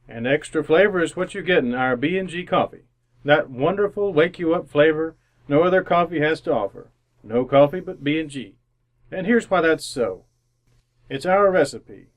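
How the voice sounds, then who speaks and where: A man narrating and pitching a sponsor as an old-time radio announcer, in a polished, folksy scripted style. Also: lightly acted.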